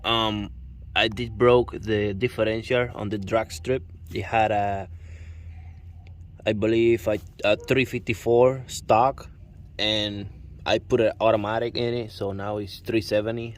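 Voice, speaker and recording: Puerto Rican man, low voice, halting explanation. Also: steady tone. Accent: Puerto Rican Spanish. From Puerto Rico. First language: Spanish. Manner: halting, searching for words, self-correcting. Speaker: car owner showing his truck. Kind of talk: casual walkaround explanation. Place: outdoors by a pickup truck.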